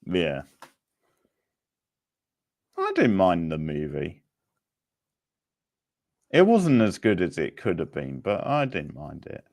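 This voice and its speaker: A man's voice: sits low, huge pitch swings, takes it slowly, gravelly, long pauses, a little mellow. A man casually hosting a quiz, chatting with participants and making dry, wry remarks. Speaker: quiz host.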